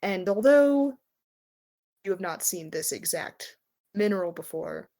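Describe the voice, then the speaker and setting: A woman narrating as game master of a tabletop roleplaying game, improvising descriptions in a measured, conversational way with pauses. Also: lowish voice, expressive, mellow.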